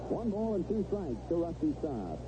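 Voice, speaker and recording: Noisy vintage radio, lively American baseball announcer, man. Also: fairly high pitch, moderate pace. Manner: clipped phrases mixed with conversational asides. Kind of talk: live radio play-by-play commentary. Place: broadcast position close to the field at a ballpark. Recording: vintage 1970s radio broadcast, muffled and noisy.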